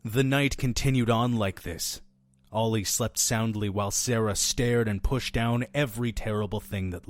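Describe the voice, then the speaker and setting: A man's voice: on the low side, lightly acted. A man playing a friendly, mysterious innkeeper who tells stories in an audio drama, earnest and persuasive with a folksy touch. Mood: hushed, solemn storytelling. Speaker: innkeeper storyteller. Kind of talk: audio drama storytelling and dialogue.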